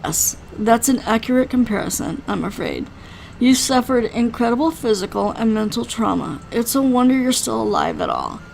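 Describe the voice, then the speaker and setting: Woman reading as a calm, dryly reassuring male healer. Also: fairly high pitch, creaky, poor audio. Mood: explanatory. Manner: measured, dry, explanatory. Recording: faint background noise.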